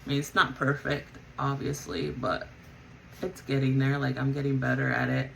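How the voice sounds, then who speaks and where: A woman's voice: rumbling low, normal speed, creaky, a little hiss or hum, rough recording. A woman who sings in a band, chatting casually as she gets ready, rambling with lots of fillers and self-corrections. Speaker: vlogger and band singer. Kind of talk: casual chat while getting ready.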